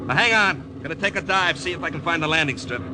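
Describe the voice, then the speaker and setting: An actor playing a dry, sardonic American military pilot in a 1950s radio drama. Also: male voice, a little high, fast, very noisy, natural voice. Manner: deadpan, sardonic. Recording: old radio recording, engine drone behind.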